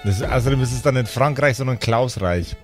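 A man runs a tabletop game as game master over clearly audible music. His mid-pitched voice moves between theatrical storytelling and teasing, casual banter. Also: brisk. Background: background music.

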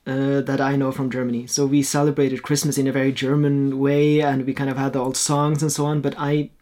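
A man's voice: medium pitch, flat delivery, brisk, darkish tone. A man who grew up in Norway and speaks Norwegian as his first language, a painter talking in a casual interview, reflective and hesitant with many restarts. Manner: reflective, hesitant, with frequent restarts and light laughs. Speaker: guest, painter.

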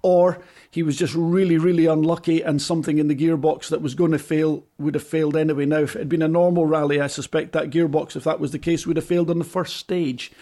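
A British man co-hosting a rally podcast, talking in an animated, emphatic way with frequent restarts. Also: a little high, fast, few pauses. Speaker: podcast co-host, rally pundit. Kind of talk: casual podcast chat.

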